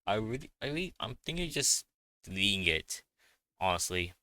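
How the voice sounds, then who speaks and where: Male gamer, low and very bright, halting casual playthrough commentary, self-deprecating. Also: sing-song, no echo, clean studio sound. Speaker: gaming streamer.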